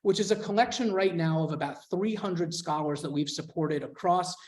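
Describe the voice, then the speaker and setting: A man with a fairly high voice presents over a video call. His delivery is measured and steady, with an occasional um or uh. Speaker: scholarship foundation representative. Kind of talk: presentation at an info session.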